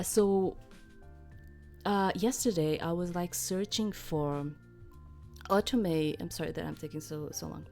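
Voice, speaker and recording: Slow, low-voiced woman chatting over music. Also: darkish tone. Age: middle-aged. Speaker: host of a gaming channel. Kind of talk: casual rambling chat to viewers.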